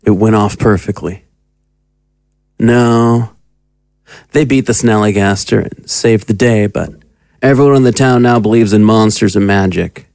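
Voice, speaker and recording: A man's voice: fairly low pitch, measured pace, pauses often, a little mellow, dry recording, clean studio sound. An actor playing a calculating male agent in an audio drama, with a businesslike, clipped manner. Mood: businesslike, scheming. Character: crisp, calculating male agent reporting to a superior.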